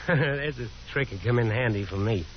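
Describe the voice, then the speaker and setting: An actor playing a bitter, restless young American son, sardonic and theatrical, in 1950s radio drama style. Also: male voice, medium pitch, measured pace, slight background noise, natural voice. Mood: bitter, wistful. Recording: dull old radio broadcast recording.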